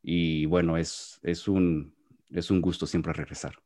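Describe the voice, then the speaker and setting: Deep-voiced Mexican man chatting. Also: flat delivery, takes it slowly, warm tone. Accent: Mexican Spanish, Jalisco. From Guadalajara, Mexico. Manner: talkative, restarts words often. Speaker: podcast guest. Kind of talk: casual interview chat. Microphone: video call.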